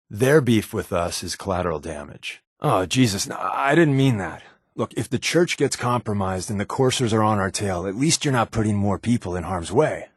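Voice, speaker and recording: A secretive male undercover operative from a video game. His voice sits slightly low, with a glib, wisecracking, conversational delivery that stays guarded. The sound is close and dry, with no room on it. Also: breathy edge, dry recording, exaggerated voice. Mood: blunt, serious. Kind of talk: video game companion dialogue.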